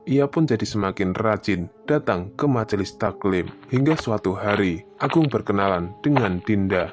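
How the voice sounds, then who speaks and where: A man reading scripted narration for an animated story. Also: lowish voice, moderate pace. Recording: music behind the voice. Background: music.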